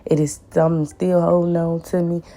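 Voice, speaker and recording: Hoarse, raspy low-voiced woman. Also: flat delivery, moderate pace. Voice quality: raspy and deep. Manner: repeats words for emphasis, warm pleading asides. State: hoarse from the flu. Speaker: nail tech vlogger. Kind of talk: casual voice-over tutorial.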